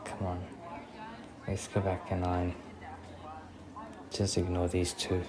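A young man playing a protective, affectionate boyfriend in an ASMR roleplay, speaking softly and intimately with pauses. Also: deep voice, monotone, crowd noise. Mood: firm, protective. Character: protective, tender, popular young boyfriend on a date. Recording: clearly noisy recording with ambient sound.

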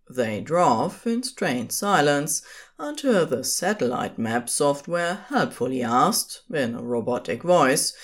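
Female narrator for audio fiction, very low register, lively intonation, measured storytelling.

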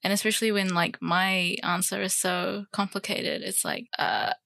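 A woman's voice: mid-range voice, very animated, normal speed, gravelly, pushed and bright, no echo. A young woman speaks with a New Zealand accent in an easygoing, hesitant way, often reaching for words with fillers. Her voice sits in a middle register and the sound is close and dry, with little room around it. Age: young adult. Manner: hesitant, filler-heavy, laughs lightly. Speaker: guest. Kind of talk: casual chat.

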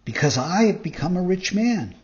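An earnest, naive little puppet boy from a storybook, voiced by a man. He speaks high and eagerly, and the recording is slightly muffled. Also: sing-song, moderate pace, darkish tone. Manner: eager, indignant, innocent. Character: earnest, naive young boy marionette, excitable. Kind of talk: storybook character reading.

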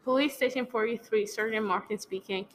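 A woman's voice: on the high side, a little fast, very noisy, natural voice. A woman playing a bored, noncommittal police desk sergeant in a radio play, perfunctory and hesitant.

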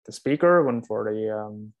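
A man's voice: on the low side, animated, normal speed, dull audio. A man guesting on a podcast over a remote call, talking hesitantly with many fillers through earphones with a built-in microphone. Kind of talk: casual interview chat.

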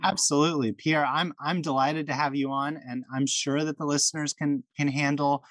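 A man's voice: fairly high pitch, fairly even intonation, normal speed, a bit bright, no room sound. A man hosting a podcast, chatting in a friendly, enthusiastic way with frequent restarts and fillers. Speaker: podcast host. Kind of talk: casual podcast chat.

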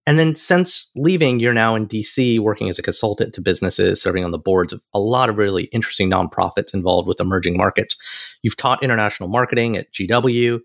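A man co-hosting a podcast, introducing a guest and asking questions with earnest, animated emphasis. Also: fairly low pitch, fast talker, vocal fry, no room sound, clean studio sound. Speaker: podcast co-host.

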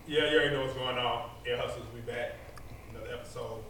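A man hosts a podcast in a relaxed, slangy way. His voice sits at an ordinary mid pitch. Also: quick pace, faint music behind, low-quality recording. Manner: loose, laid-back, slangy. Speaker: podcast co-host. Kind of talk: casual chat.

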